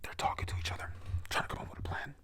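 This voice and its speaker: A narrator casually recapping a manga story in a whisper, swearing often and stumbling over words. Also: rapid-fire.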